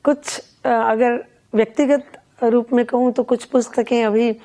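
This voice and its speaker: An older woman being interviewed as a poet and event organiser, speaking in a measured, earnest, deliberate way. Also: a little high, steady tone, warm tone. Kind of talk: reflective conversational interview.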